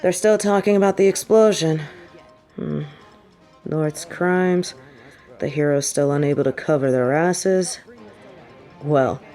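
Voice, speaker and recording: A woman's voice: low voice, darkish tone. A woman playing a sardonic, vengeful supervillain with a tender side for her partner, in an intimate audio roleplay. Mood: dark satisfaction. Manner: teasing, sighing, halting when confessing.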